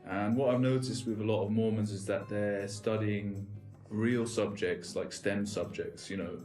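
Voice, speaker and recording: Guy interviewing, kinda low voice, rambly, echoey room, guitar in back. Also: steady tone, measured pace, darkish tone. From England. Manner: rambling, many fillers and restarts. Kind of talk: casual interview chat. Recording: echoey room with music behind.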